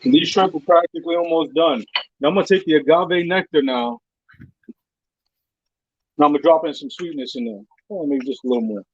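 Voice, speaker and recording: A man's voice: fairly high pitch, brisk, long pauses, slightly rough, no room sound. A man cooking on a live show, informally talking through each step as he does it. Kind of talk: casual cooking demonstration chat. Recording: dull lo-fi stream audio, dry.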